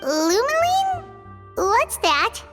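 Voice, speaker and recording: A woman voicing a tiny, childlike, curious fairy companion in a video game, squeaky and exaggerated. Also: sing-song, takes it slowly, full character voice. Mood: puzzled. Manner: exaggerated, inquisitive. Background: loud game music.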